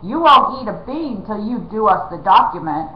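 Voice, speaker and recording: A woman voicing a big, crafty, bullying male outlaw who talks loud and coarse. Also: mid-range voice, sing-song, normal speed, natural voice. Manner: loud, coarse rustic talk. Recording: roomy, slightly dull recording.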